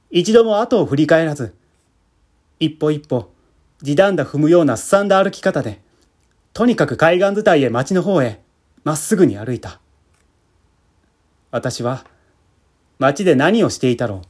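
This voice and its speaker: A man's voice: on the high side, animated, moderate pace, long pauses, darkish tone. A man reading a literary short story aloud as a dramatic narration. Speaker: amateur theatre troupe leader reading aloud. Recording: music bed behind the voice.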